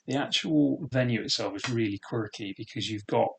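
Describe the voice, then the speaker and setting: A man's voice: mid-range voice, normal speed, creaky, husky. A man in his thirties with a thick regional British accent, casually reminiscing in a rambling way. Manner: rambling, full of "sort of" and "um" fillers. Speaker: vlogger.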